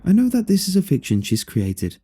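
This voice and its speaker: A man's voice: sits high, wildly swooping pitch, fairly quick, dark tone, slight background noise. A man reading a scripted first-person horror story as its narrator.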